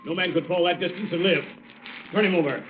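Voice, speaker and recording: An actor playing a commanding police official in an old radio drama, giving brisk orders. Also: male voice, high-pitched, faint music behind, natural voice. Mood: grave, commanding. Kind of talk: 1930s-40s radio drama acting. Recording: muffled, echoey old radio recording.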